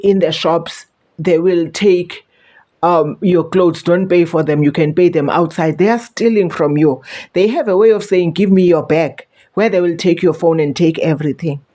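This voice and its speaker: A woman's voice: fairly low pitch, dark tone. Chatty South African woman vlogger. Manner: chatty, repeats words, warns viewers. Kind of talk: casual storytelling to camera.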